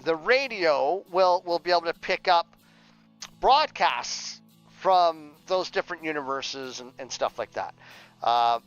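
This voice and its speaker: A man with a high, smooth voice talks casually to a livestream. He rambles, circling back and repeating words as he goes. The sound is a little dull, with music playing behind him. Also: expressive, measured pace, a bit bright. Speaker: streamer and audio drama writer.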